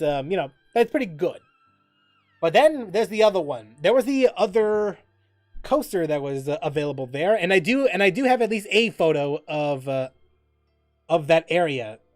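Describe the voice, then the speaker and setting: A man hosting a livestream in casual, rambling chat, often halting to repeat and rephrase himself mid-sentence. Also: high voice, a little mellow. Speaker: livestream host.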